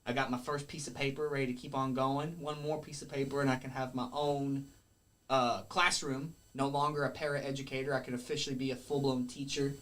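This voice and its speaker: A man's voice: medium pitch, level tone, fairly quick, fairly bright tone. A man, a wrestler guesting on a podcast, talking in a rambling, earnest way and correcting himself as he goes. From Kentucky. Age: 20s. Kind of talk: casual podcast interview.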